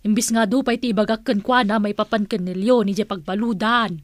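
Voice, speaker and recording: An actress with an Ilocano accent playing a vain, playful, flirtatious auntie in a radio comedy drama. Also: female voice, medium pitch, steady tone, brisk, dry recording, clean studio sound, close to an everyday voice. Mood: huffy, smitten.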